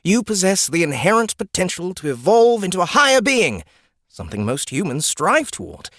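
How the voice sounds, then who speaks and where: A haughty fantasy lizard acted by a man lectures like an exasperated guide to lesser beings. The delivery is theatrical and swings widely in pitch. The sound is dry, as in an audiobook. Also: very animated, moderate pace, a bit bright, dry recording, heavily acted. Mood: condescending. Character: pompous, exasperated ninety-one-year-old male lizard guide, youthful-looking. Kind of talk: audiobook character acting.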